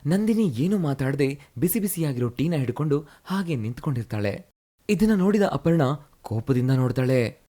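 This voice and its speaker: A man narrating a cartoon moral story in a steady, explanatory way. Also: on the high side, normal speed.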